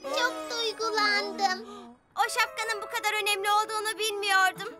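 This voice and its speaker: A woman voicing a sweet, curious child-like little bus in a children's cartoon dub, with loud music behind. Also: very high voice, level tone, normal speed. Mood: touched, fond.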